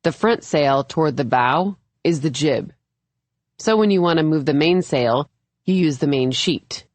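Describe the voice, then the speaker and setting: A woman's voice: low voice, expressive, measured pace, a little mellow, no room sound. A woman voicing an easygoing man who teaches sailing in an audiobook, patient, encouraging and lightly teasing. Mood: instructive. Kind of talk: audiobook character dialogue.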